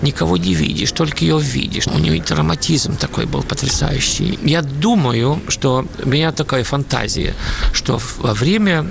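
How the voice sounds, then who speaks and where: A Swedish-born opera singer talks in an interview, pausing often to search for the right word, with slightly non-native phrasing. His voice has a gravelly, creaky edge. The radio recording carries a clear layer of background noise. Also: on the high side. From Sweden. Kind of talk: conversational interview answers.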